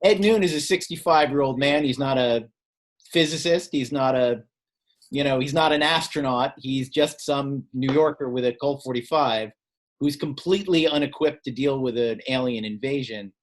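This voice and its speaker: A man's voice: medium pitch, moderate pace. A man telling anecdotes casually as a guest reader at a literary event, speaking over a video call. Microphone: video call microphone.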